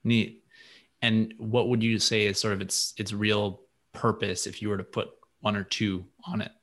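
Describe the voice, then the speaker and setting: A man's voice: fairly low pitch, flat delivery, normal speed, fairly bright tone. A man hosting a casual interview, giving short approving reactions and simple questions. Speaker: interviewer, host.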